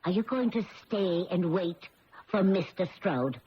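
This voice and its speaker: A woman's voice: lowish voice, expressive, measured pace. A prim housekeeper in a radio mystery. She speaks in a dry, reproving way. The old recording is muffled, with some noise. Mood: disapproving. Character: disapproving, prim female housekeeper. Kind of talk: 1970s radio drama acting.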